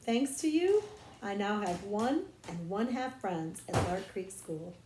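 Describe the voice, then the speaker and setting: A woman voices an earnest, knowing schoolgirl in a storybook reading. The delivery is precise and confiding, at a middle pitch, with audible room echo. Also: expressive, slow pace, noisy background. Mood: contented. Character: earnest, knowing young schoolgirl who relishes secrets. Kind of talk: audiobook character voice.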